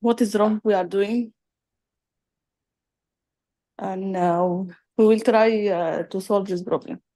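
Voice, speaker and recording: Halting female student, slow and mellow. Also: mid-range voice, steady tone, no room sound. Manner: halting, drawn-out fillers.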